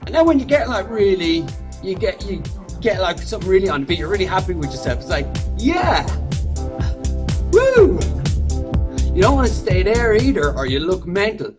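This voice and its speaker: A man's voice: high-pitched, big pitch swings, normal speed, over music. A man giving playful, exaggerated comic instructions in a video. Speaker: comedy performer.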